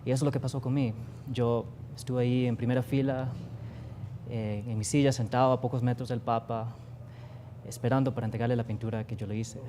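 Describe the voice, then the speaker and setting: A man's voice: mid-range voice, fairly even intonation, a little fast, warm tone, slight background noise. Earnest young Costa Rican man. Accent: Costa Rican Spanish. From Costa Rica. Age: young adult. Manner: earnest, reflective, with many fillers and restarts. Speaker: guest artist. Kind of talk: TV studio interview. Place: TV studio.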